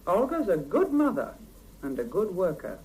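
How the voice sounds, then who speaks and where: An actress in a 1970s radio drama playing a brisk, earnest seventy-year-old Soviet woman who mothers and admonishes her juniors, on an old cassette recording. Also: fairly low pitch, sing-song, a little mellow, slight background noise, rough recording. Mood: earnest, reassuring.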